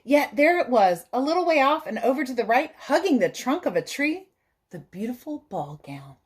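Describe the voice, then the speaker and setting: A woman with a fairly high voice reads a picture book aloud as a teacher would for young children. Her delivery is expressive and dramatic, and she steps out of the story to explain things simply. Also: moderate pace.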